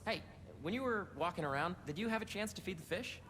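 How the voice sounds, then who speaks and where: A man's voice: high-pitched, lively intonation, quick pace. An actor playing a chatty, playful young man giving a friend a tour in a game cutscene. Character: young male tech heir, upbeat and playful host of a tour. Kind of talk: video game cutscene acting. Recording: reverberant hall with ambient noise.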